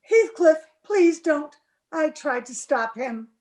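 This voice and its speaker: An actress playing a desperate, lovelorn young wife in an old-time radio drama, emotional and theatrical. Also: female voice, high voice, expressive, slow, darkish tone. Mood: distressed.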